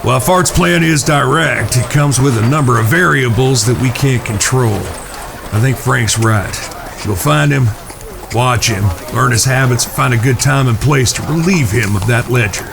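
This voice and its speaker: An actor in an audio drama playing the cautious, bossy older brother who leads Old West outlaws, with a gravelly voice. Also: male voice, medium pitch, unhurried, background noise. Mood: deliberate, calm. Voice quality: creaky, gravelly.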